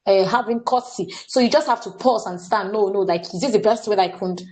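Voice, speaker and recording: A woman's voice: mid-range voice, quick pace, darkish tone. A young Nigerian woman leading a staff training talk, earnest and often stopping to repeat words or say "what's it called.". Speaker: workshop facilitator.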